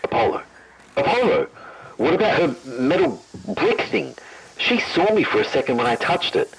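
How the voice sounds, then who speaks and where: A man playing an excitable, complaining invisible man in an audio drama, heard through a walkie-talkie filter. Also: fairly high pitch, moderate pace, gravelly. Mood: eager. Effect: muffled walkie-talkie radio filter.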